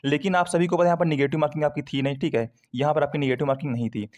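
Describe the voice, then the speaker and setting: A man talks to students as an online exam coach. His voice sits a little high and he speaks very quickly. The delivery is conversational and informal, dotted with English terms and frequent little check-in tags. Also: fairly even intonation, a little mellow. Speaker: exam prep YouTuber. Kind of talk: informal explainer addressed to students.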